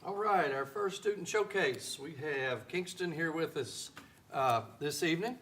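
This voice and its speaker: A man introducing a student showcase at a school board meeting, relaxed, with fillers and light jokes. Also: on the high side, unhurried, a little hiss or hum. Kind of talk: meeting presentation, partly read.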